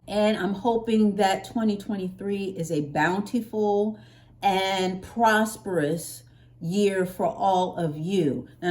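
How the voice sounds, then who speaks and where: An American woman vlogger chatting casually to her viewers in a playful, expressive way, stretching words for emphasis. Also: medium pitch. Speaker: hair care vlogger.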